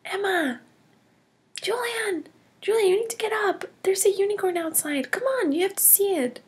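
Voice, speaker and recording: A woman voicing a curious, cheerful girl in a toy play story, full of gasps and hushed whispers. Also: very high-pitched, lively intonation, normal speed. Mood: amazed. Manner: gasping, whispering wonder.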